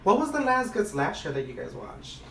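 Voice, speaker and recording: Lively man, distant in an echoey room. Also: high-pitched, a little fast, creaky. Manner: eager, laughing, stumbles over words. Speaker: podcast co-host. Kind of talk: casual chat among friends. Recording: echoey room, distant mic, crowd noise.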